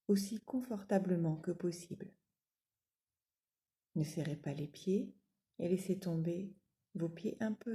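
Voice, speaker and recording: A woman guiding a yoga nidra relaxation in a calm, soothing, measured manner, giving gentle spoken instructions with long pauses. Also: on the low side, gravelly.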